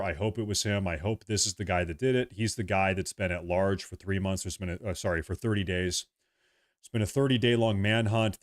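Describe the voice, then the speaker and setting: A young man from the United States hosts a loose livestream, talking straight to his viewers. His voice sits very low, and he rambles, circling back over the same phrases as he reads chat and fills time. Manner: rambling, repeats himself, reads chat aloud. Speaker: livestream host.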